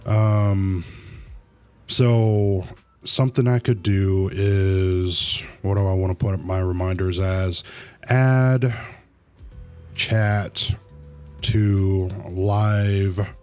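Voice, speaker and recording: A man chatting casually to viewers as a livestreamer, halting and rambling, with easygoing slang. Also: lowish voice, a little mellow. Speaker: live streamer, host of own channel. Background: music.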